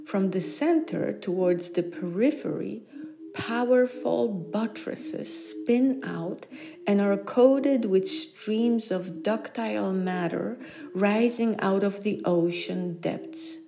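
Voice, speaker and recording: A woman reading literary science fiction aloud in measured, deliberate phrases. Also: mid-range voice. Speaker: reader. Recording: clearly audible background ambient noise.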